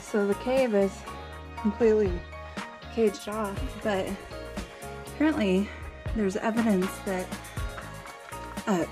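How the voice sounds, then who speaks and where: A young adult woman vlogging casually on a desert road trip, relaxed and enthusiastic, filmed on a handheld camera. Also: medium pitch, slow pace, long pauses, over music. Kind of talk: casual travel vlog narration. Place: in a car and outdoors in desert.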